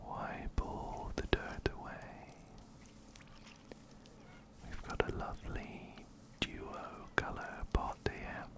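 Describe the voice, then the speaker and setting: Slowly whispering man, close to the mic. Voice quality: soft breathy whisper. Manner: slow, soothing, repeats phrases gently. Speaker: ASMR host playing a video game. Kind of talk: ASMR whispered game commentary. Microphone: close-up binaural microphone.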